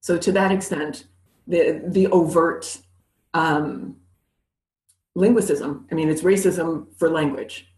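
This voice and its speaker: A woman's voice: low voice, slow pace, long pauses. A woman from New City, talking candidly and with animation as an interview guest. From New York City. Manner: candid, animated, laughs easily. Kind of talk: conversational interview. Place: living in Montreal. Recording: roomy echo.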